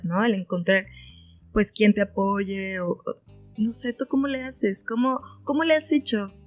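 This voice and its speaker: A woman hosts a casual interview in a conversational way. Her voice sits at an average pitch, and soft guitar music runs behind it. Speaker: interview host.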